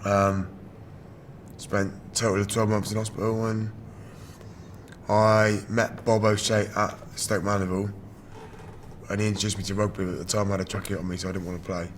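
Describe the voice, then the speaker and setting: British male, twenties, candid interviewee. Rough, damaged voice sitting very low, faint location noise behind. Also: little pitch movement, normal speed. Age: 20s. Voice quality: damaged, rough voice from throat surgery. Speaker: wheelchair rugby player.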